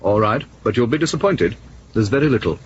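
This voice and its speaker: An actor playing an urbane, evasive secret service officer in a radio drama, drily condescending and smug. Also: male voice, mid-range voice, expressive, a little fast, husky, lo-fi sound.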